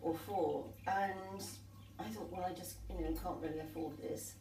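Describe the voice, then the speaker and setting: Older female artist, mellow and rambling, recorded far off in an echoey sunroom with faint hiss. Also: lowish voice, fairly even intonation, moderate pace. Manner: rambling, warm reminiscing with restarts. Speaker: artist and guest. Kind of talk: conversational storytelling. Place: sunlit glass conservatory at a tea table. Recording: echoey room, distant mic, faint hiss.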